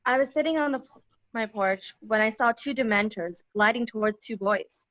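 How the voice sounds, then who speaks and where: A woman's voice: a little high, normal speed, a bit bright, close to an everyday voice. Woman playing nervous witness, muffled audio. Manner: nervous, hesitant. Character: nervous woman witness testifying, shaken and earnest. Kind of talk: amateur online table read of a screenplay.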